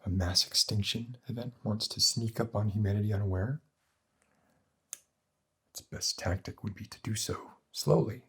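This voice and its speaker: Man playing a husky, morbid doctor. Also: fairly bright tone, exaggerated voice. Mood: bleak lecturing. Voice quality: husky, breathy, low. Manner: pedantic, deliberate, bleak. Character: morbid, cynical male doctor treating depression with despair. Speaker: doctor in an ASMR roleplay. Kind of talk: ASMR roleplay monologue, philosophical lecturing.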